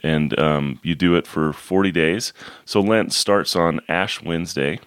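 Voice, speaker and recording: A man co-hosting a podcast, hoarse this morning, talking in a halting, casual way with many fillers and repeated words. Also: low-pitched, no echo, clean studio sound. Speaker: podcast co-host. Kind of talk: casual chat.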